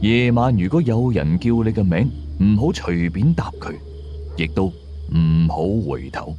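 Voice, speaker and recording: A man narrating a scripted first-person horror story in a measured, dramatic way, drawing out the ends of some phrases. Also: sits low, lively intonation, dark tone, dull audio, a little hiss or hum. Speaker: storyteller of a radio ghost-story series.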